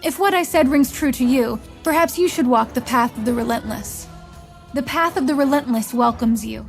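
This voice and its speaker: High-voiced, solemn fantasy visionary. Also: female voice, soft background music. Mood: solemn, persuasive. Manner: measured, portentous, rhetorical. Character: solemn, commanding female visionary mentor recruiting chosen leaders. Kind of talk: scripted fantasy game voice lines.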